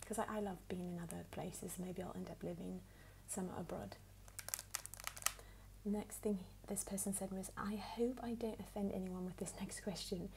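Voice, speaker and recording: A woman from the United States with a put-on English accent, speaking softly and at times whispering as she unhurriedly answers questions for an ASMR video. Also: mid-range voice, fairly even intonation, normal speed, creaky, background noise. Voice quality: soft, partly whispered.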